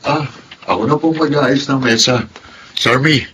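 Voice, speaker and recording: A man's voice: medium pitch, unhurried, background noise, lightly acted. An actor playing a polite, deferential young husband living with his in-laws in a radio drama. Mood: polite, apologetic. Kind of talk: radio drama narration and dialogue.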